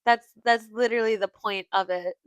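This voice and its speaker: A young woman co-hosting a casual podcast, reacting bluntly with exclamations of disgust and quick jokes. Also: on the high side, fairly even intonation, smooth, no room sound. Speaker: podcast co-host. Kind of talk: casual chat.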